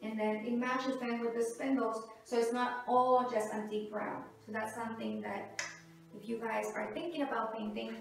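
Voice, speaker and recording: A middle-aged woman with a high voice talks casually to the camera, showing viewers around her house. The sound is very echoey, picked up by a camera microphone some distance away in a big open hallway. Her delivery is chatty and demonstrative, in the style of a home renovation vlog. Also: fairly even intonation, moderate pace, vocal fry, faint music behind. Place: large two-storey house hallway and stair landing. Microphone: camera mic a few metres away.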